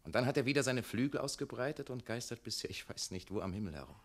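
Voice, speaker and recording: An actor playing a mayor's son and secretary in a German radio play, measured, coaxing and insistent in his questioning. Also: medium pitch, animated, slight background noise, close to an everyday voice. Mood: scornful, probing.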